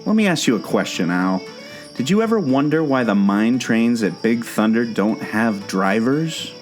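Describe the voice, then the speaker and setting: A man's voice: medium pitch, expressive, moderate pace, faint music behind, natural voice. A man playing an eager, knowing explorer guide in a scripted audio drama, talking like an earnest storyteller. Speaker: co-host of a theme park podcast.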